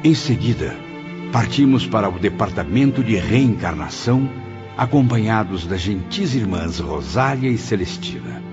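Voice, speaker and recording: Sorrowful male spirit played by an actor, Brazilian radio drama, low expressive voice, muffled sound under loud music. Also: moderate pace, close to an everyday voice. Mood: grave narration. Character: Camilo, sorrowful repentant male spirit recounting his afterlife.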